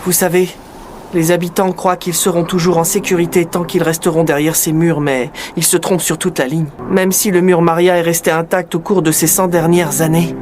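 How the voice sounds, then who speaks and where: A man voicing an earnest, worried teenage boy in a dubbed anime, speaking gravely. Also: a little high, level tone, moderate pace, lightly acted. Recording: noisy with background ambience.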